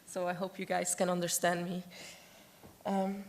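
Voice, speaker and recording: A woman's voice: fairly low pitch, flat delivery, a little slow, slight background noise. A woman giving a prepared conference presentation as an objects conservator, with a voice that is giving out. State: losing her voice.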